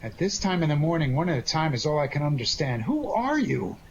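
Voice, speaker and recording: Man playing unflappable radio sleuth, slightly high male voice, sardonic, slangy 1940s delivery, muffled recording. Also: sing-song, fairly quick. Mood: dry, unruffled. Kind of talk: 1940s radio detective drama acting. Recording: dull lo-fi audio with faint noise.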